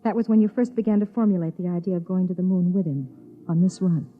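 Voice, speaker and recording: A woman's voice: fairly low pitch, faint music behind, natural voice. Woman acting a dramatic young wife, muffled old radio. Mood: wistful, brooding. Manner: dramatic, deliberate, intense. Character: lonely, anxious young wife narrating her own story. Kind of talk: 1950s radio drama, second-person narration. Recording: dull old radio recording.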